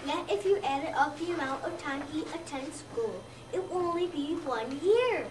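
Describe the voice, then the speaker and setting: A young child of about seven or eight, a second grader, reading a scripted narration aloud in a careful, halting way. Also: sits high, lively intonation. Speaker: second-grade student reader. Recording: roomy, distant mic, noisy background.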